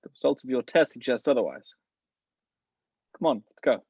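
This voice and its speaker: A man playing a polished, calm middle-aged spy mentor in an amateur table read. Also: medium pitch, moderate pace, gravelly, breathy edge, no room sound, dull audio, exaggerated voice. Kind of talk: amateur table read over video call.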